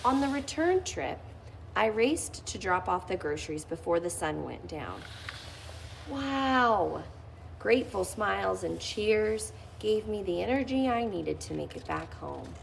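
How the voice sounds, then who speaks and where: A woman's voice: mid-range voice, sing-song, a little slow, creaky, a little hiss or hum, low-quality recording. A woman reading a children's picture book aloud, lifting her voice expressively on key phrases. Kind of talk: read-aloud storytelling.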